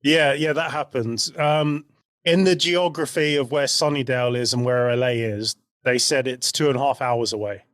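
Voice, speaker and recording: A man talks as a podcast co-host in a casual, easygoing way. His voice sits at a middle pitch and he tends to explain as he goes. The recording is dry, free of room sound. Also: fairly even intonation, moderate pace, a bit bright, dry recording. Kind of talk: casual pop-culture chat.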